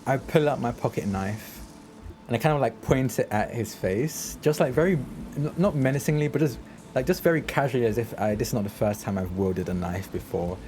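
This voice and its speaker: A man's voice: mid-range voice, brisk, a little mellow. A man playing a tabletop game, casually narrating his character's actions in the first person. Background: music.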